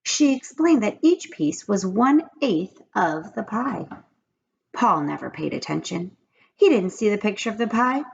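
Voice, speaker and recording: A woman reads a children's story with very animated intonation. Her voice has a creaky edge, and the recording is slightly muffled, with noise behind it. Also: medium pitch, unhurried. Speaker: storybook reader. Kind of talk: expressive children's read-aloud narration.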